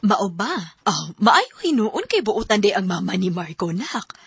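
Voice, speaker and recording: A woman's voice: medium pitch, very animated, unhurried, creaky, breathy edge, no echo, full character voice. An actress with a Cebuano Filipino accent playing a pragmatic middle-aged mother in a Bisaya radio drama. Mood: approving, coaxing. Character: pragmatic middle-aged mother nudging her daughter to marry.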